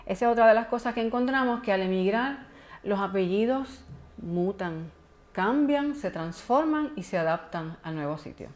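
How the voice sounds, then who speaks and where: A woman's voice: mid-range voice, animated, moderate pace, a little hiss or hum. A woman from Puerto Rico with a Puerto Rican accent, presenting a genealogy talk at a conference in an informal, explanatory way with chatty asides. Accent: Puerto Rican Spanish. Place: conference hall.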